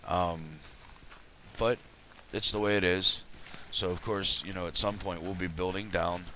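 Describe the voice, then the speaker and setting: A low-voiced man talks through a game early in the morning, his voice raspy and still sleepy. His tone is bright and forward, and he rambles along in a chatty, relaxed way. A faint hiss sits under the recording. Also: a little slow, poor audio. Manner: rambling, chatty, self-correcting. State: just woken up. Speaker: let's play host. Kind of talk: casual gameplay commentary.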